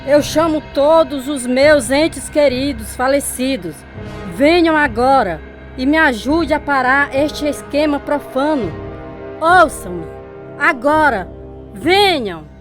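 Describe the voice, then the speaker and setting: A woman's voice: sits high, animated, slow, music in the background. An actress playing a harsh, bitter middle-aged mother in a Brazilian Portuguese radio drama, with a scolding, cutting delivery. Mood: commanding, outraged. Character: harsh, bitter mother, spiritist, scornful and unforgiving.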